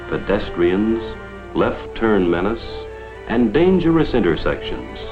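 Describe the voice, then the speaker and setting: An American man delivering scripted 1950s promotional film narration in an earnest, oratorical, dramatic manner. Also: lowish voice. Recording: vintage 1950s film soundtrack, muffled.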